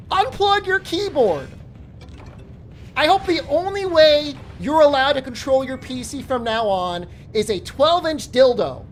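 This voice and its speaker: Casting note: a man in his early forties as a game streamer. His pitch swings widely, and he is loose and foul-mouthed, roasting his chat for laughs. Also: slight background noise. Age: 40s. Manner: profane, joking rants at chat. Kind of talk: live-stream chat while gaming.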